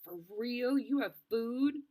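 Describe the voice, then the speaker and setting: A woman's voice: a little high, lively intonation, takes it slowly, mellow, pitched above the performer's own voice, poor audio. A woman voicing an anxious, curious young girl stranded in the wilderness in a storybook read-aloud. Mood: surprised. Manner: questioning, worried. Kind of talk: storybook character voice.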